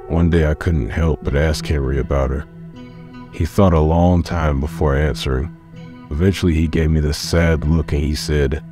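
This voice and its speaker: A man voicing a watchful, world-weary middle-aged man in a comic dub, terse, measured and dryly wry. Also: rumbling low. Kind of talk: dramatic dialogue. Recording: music behind the voice.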